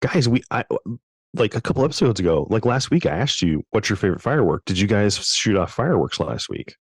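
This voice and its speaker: A man with a low voice chats eagerly as a podcast co-host, tripping over his words and restarting as he goes. The sound is close and dry. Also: a little fast, breathy edge, dry recording. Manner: animated, stumbling restarts. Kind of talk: casual chat.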